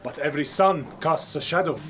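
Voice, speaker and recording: A man's voice: fairly high pitch, fairly quick, a bit bright, slight background noise, lightly acted. An actor playing a persuasive Moorish embassy man in a period radio drama, earnest, formal and pleading. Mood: pressing. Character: urgent, persuasive Moorish envoy's man, loyal friend.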